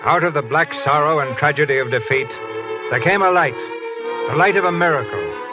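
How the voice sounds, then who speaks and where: A man's voice: fairly high pitch, fairly quick, lo-fi sound, music in the background. A man hosting and narrating a radio theater broadcast in a polished, stately 1940s announcing style.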